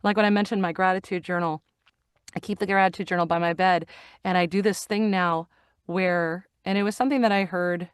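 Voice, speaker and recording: A middle-aged woman speaks in a slightly low, smooth and resonant voice, recorded close on a studio mic with a dry, deadened sound. She talks earnestly and haltingly, circling back over her phrases. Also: steady tone, no room sound. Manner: earnest, halting, repeats herself while searching for words. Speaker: guest, voice actor and audiobook narrator. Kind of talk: casual interview chat. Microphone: studio microphone.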